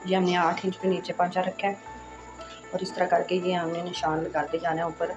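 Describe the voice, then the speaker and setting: A woman hosting a sewing tutorial, speaking in a casual, reassuring way while she explains each step, with a hoarse voice from a sore throat. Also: lowish voice, monotone, moderate pace, lo-fi sound, music in the background. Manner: chatty and reassuring, frequent "accha ji".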